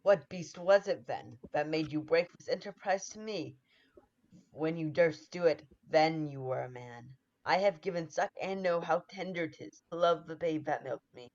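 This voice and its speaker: A woman playing a ruthless, scheming noble lady who drives her husband on, in 1940s radio-play style, with urgent forceful delivery. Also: deep voice, moderate pace, close to an everyday voice. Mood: scornful. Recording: dull lo-fi sound.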